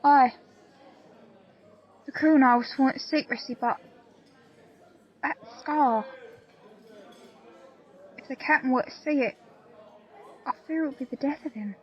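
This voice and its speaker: A woman's voice: high-pitched, measured pace, long pauses, dull audio. A woman playing a loyal, plain-spoken pirate crew member in an audio play, telling her story earnestly in old seafaring dialect. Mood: grave, confiding. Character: loyal, plain-spoken pirate crewwoman confiding a grave secret.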